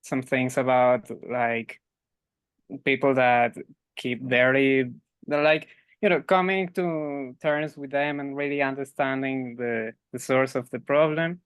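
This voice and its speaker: Slow, smooth-voiced man from Colombia. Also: mid-range voice, fairly even intonation, dry recording. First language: Spanish. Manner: slow, searching for words, laughs. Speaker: audience member.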